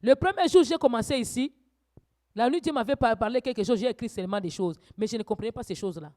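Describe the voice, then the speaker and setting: A woman preacher teaching a church sermon, swinging from quiet asides to loud, urgent exhortations. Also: on the high side, animated, fast.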